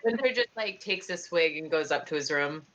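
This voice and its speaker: Low-pitched woman playing a tabletop game. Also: animated, a little fast, fairly bright tone. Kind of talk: casual in-character roleplay chat. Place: online voice call. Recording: dull, noisy online call microphone.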